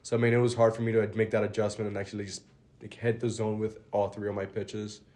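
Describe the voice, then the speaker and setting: A young man from the Dominican Republic, a college baseball pitcher, answering interview questions in a relaxed, plainspoken way and letting his phrases trail off quietly. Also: fast talker. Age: young adult.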